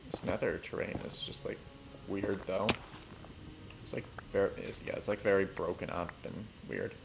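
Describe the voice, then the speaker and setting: A man chatting casually on a gaming stream, thinking aloud in a halting, rambling way with dry, self-deprecating humour. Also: low voice, steady tone, creaky, noisy background. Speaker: livestreamer playing a building game.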